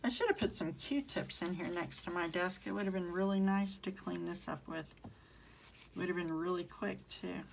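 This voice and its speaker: A woman's voice: fairly low pitch, moderate pace, creaky, a little hiss or hum, low-quality recording. A woman with a Southern American accent, chatting with viewers during a live craft tutorial and answering their comments as she works. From southern United States. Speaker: craft demonstrator.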